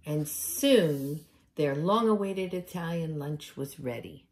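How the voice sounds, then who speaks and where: A middle-aged woman reading a picture book aloud for young children in a deliberate, expressive storytelling way, indoors at home. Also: low-pitched, slight background noise.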